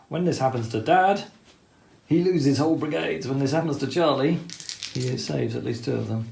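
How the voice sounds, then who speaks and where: A man's voice: mid-range voice, normal speed, creaky, darkish tone, reverberant, slight background noise. A man methodically explaining each step of a tabletop wargame turn, talking through the rules as he plays. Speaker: wargame player explaining turns. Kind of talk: tabletop game commentary.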